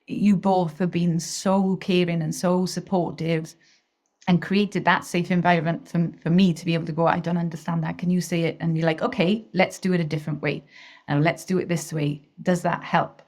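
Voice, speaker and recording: A woman from Wales, a guest on a video call, talks in a lowish voice. She is enthusiastic and effusive, often laughing as she talks. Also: level tone, moderate pace, a little mellow. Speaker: interview guest, singing teacher. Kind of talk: casual interview chat.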